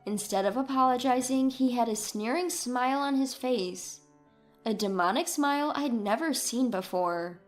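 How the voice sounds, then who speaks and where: High-voiced woman narrating over music. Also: normal speed. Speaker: story narrator. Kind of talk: scripted first-person story narration.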